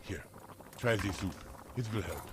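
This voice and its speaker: Male, low-pitched, German-accented: a gentle, paternal village priest for audio drama, patient delivery, noticeable background noise. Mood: warmly explaining. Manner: gentle, deliberate, explaining patiently. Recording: clear background noise behind the voice.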